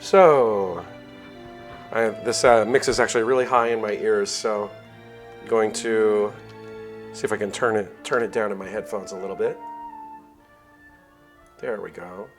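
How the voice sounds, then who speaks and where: Low-voiced man chatting on a game stream. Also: steady tone. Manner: halting, hesitant, thinking aloud. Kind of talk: casual livestream chat to viewers. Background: game music.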